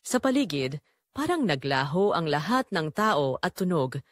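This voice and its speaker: Expressive woman narrator, dry close sound. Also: low voice, measured pace, no room sound. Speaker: audiobook narrator reading a first-person romance story. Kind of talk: dramatic scripted narration.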